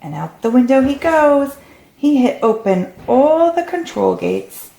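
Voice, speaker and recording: A woman's voice: high voice, big pitch swings, unhurried, gravelly, warm tone. A woman reading a storybook aloud to young children. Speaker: storybook reader. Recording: roomy, echoey recording.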